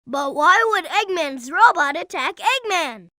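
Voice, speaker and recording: A woman's voice: very high voice, lively intonation, a little slow, bright, forceful tone, dry recording, clean studio sound, full character voice. An actress voicing a sassy, confident female bat treasure hunter in a video game. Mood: scornful.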